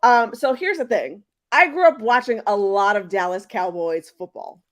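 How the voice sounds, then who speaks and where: A woman from Houston hosts a casual sports podcast at a middling pitch. Her talk rambles along with fillers and restarted phrases. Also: animated, normal speed. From Houston, Texas. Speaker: podcast host.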